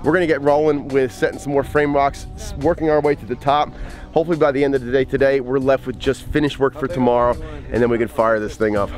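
A man's voice: medium pitch, fairly even intonation, quick pace, darkish tone, soft background music. A man in his forties hosts a walkthrough of an outdoor build, explaining each step in an upbeat way to a camera held close. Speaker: pond builder and channel host. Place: outdoors at a backyard construction site. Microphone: handheld camera held close.

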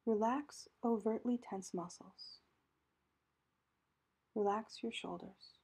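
Breathy, mellow woman guiding meditation. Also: mid-range voice. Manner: calm, gentle, measured instruction with pauses. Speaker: meditation guide. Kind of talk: guided meditation narration.

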